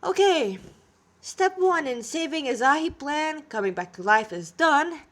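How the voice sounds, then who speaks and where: A woman's voice: high voice, expressive, unhurried, a bit bright, voice pushed up. A woman voicing a sarcastic, exasperated young male hero who grumbles informally in a storybook reading. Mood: determined.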